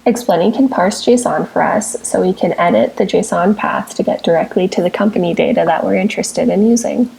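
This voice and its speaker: A woman presenting a software tutorial as scripted, step-by-step screencast narration, with measured, instructional phrasing. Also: on the low side, vocal fry, breathy edge, poor audio. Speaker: software tutorial presenter, technical support engineer.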